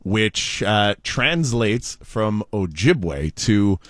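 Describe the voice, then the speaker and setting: A man's voice: lowish voice, big pitch swings, drawn-out words, fairly bright tone, dry recording, clean studio sound. A man co-hosting a talk show, retelling offbeat news in a casual, jokey way. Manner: chatty, jokey storyteller. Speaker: talk show co-host telling news stories.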